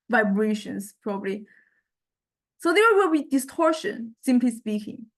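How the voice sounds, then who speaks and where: A woman giving a step-by-step software tutorial as a lecturer, hesitant and halting, often checking in with listeners. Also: a little high. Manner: hesitant, halting delivery with repeated check-ins.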